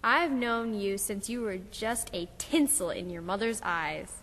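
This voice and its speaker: A woman's voice: mid-range voice, a little slow, a bit bright. Kindly talking Christmas tree, played by a woman, in a hall. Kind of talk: children's Christmas puppet show.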